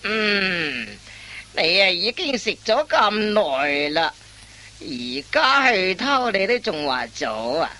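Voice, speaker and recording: A woman playing a wily veteran master thief in a comic radio play, instructing in drawn-out phrases full of interjections. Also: mid-range voice, big pitch swings, sharp tone, heavily acted. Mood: coaxing, lecturing.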